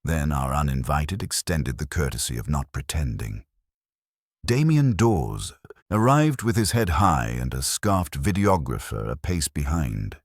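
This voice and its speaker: A man narrating a first-person detective story in a wry, measured storytelling manner. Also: very deep voice, sing-song, no echo, studio quality. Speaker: audiobook narrator.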